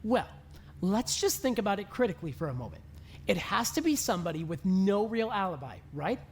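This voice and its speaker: An actor voicing a smug, accusing young male student in an animated murder mystery, deliberate and taunting. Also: medium pitch, slight background noise. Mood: calm, reasoning.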